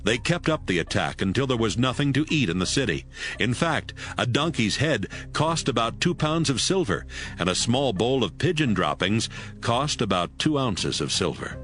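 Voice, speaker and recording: A man's voice: mid-range voice, very animated, normal speed, a bit bright. A man narrating a dramatized Bible audiobook from a script. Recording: music behind the voice.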